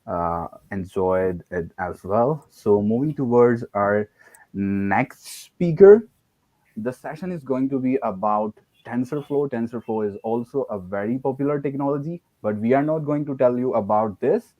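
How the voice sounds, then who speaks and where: A man's voice: mid-range voice, expressive, measured pace, dark tone, no room sound. A man with a Pakistani English accent hosting an online tech event on a video call, formal and polite. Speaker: event host. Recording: dull lo-fi sound.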